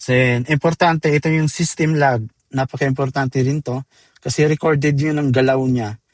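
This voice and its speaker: Filipino guy doing a laid-back screen tutorial, average pitch, no room echo, tosses in english words. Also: fairly even intonation, normal speed, studio quality. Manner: informal, addresses viewers as guys, code-switches into English terms. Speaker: software tutorial presenter. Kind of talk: casual screen-demo tutorial, step-by-step.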